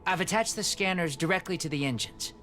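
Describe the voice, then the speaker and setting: A man's voice: high voice, brisk, pushed and bright, soft background music. A man playing an earnest spaceship engineer in a video game, talking plainly about technical matters. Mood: tentative, keen. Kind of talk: cinematic video game acting.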